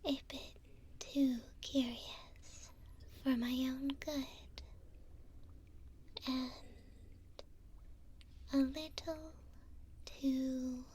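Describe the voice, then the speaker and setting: A woman playing a gentle, curious elf prisoner in an ASMR roleplay, speaking softly and hesitantly, close to a binaural microphone. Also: sits high. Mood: sheepish, confiding. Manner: slow, soft, hesitant with gentle pauses.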